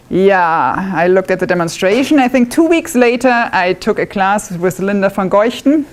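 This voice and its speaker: A woman with a German accent presents at a club meeting in an easy, chatty way. She pokes fun at herself and tosses in quick asides, at a middling pitch. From northwest Germany. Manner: self-deprecating, chatty with asides. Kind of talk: live demonstration talk. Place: club meeting room with live audience.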